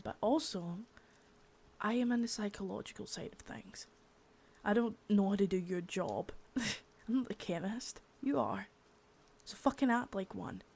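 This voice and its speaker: A woman's voice: mid-range voice, a little mellow, lightly acted. A woman playing a stern, cursing boss. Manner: harsh, profane, cold, drifts into whispers. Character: stern foul-mouthed female boss, intimidating. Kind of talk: ASMR roleplay.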